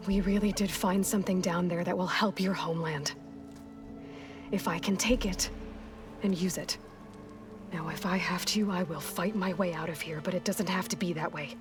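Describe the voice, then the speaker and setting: A woman's voice: lowish voice, lightly acted. A woman acting a resolute, blunt young hunter in a video game, speaking directly and earnestly. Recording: music behind the voice.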